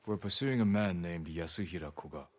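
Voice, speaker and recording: An actor playing a stern samurai hero who speaks in a quiet, measured way. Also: male voice, deep voice. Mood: grave. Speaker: game character. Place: played from the game.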